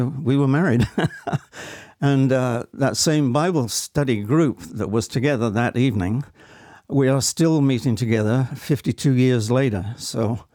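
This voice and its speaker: An older man in his seventies or beyond shares personal memories in an interview. His voice is mellow and sits at an average pitch, with very little room sound. He is reflective and earnest, often pausing and restarting as he goes. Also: dry recording, clean studio sound. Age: 70s or older. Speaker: interview guest, stage actor. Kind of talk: personal testimony in conversation.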